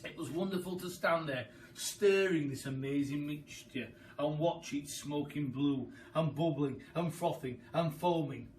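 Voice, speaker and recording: Male storyteller, children's book reading, high bright voice, unhurried, dramatic emphasis, slightly distant mic. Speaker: storyteller, primary school teacher. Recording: far from the mic, faint background noise.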